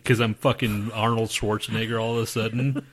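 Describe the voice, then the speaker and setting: A man's voice: lowish voice, little pitch movement, brisk, breathy edge. A man co-hosting a podcast, talking in a loose, profane, rambling way about his day. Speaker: podcast co-host. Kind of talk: crude casual chat.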